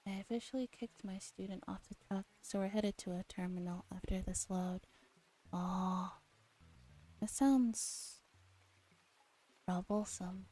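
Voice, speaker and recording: A woman streamer gently reading a visual novel aloud in a soft ASMR style, relaxed and chatting casually, at times dropping to a whisper. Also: medium pitch, unhurried, pauses often. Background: background music.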